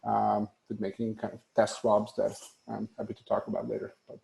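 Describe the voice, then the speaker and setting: A man with a Hungarian accent speaking as a guest on a video-call panel, halting with many fillers and restarts. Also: on the low side, monotone, creaky, dark tone, low-quality recording. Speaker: panel guest, tech executive. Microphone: video call webcam.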